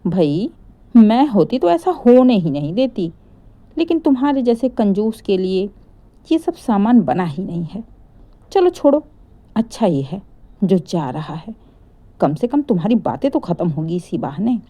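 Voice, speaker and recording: A woman voicing a sharp-tongued older village aunt who mocks and scolds in a storytelling drama. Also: mid-range voice, very animated, a little slow. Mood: mocking. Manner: mocking, scolding, exclamatory.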